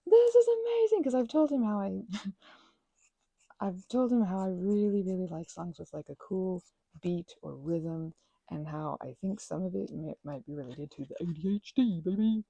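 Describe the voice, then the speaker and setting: A woman hosting a soft-spoken ASMR channel, chatting enthusiastically while she crafts, often trailing off and restarting. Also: mid-range voice, lively intonation, moderate pace, vocal fry, husky, no room sound, poor audio.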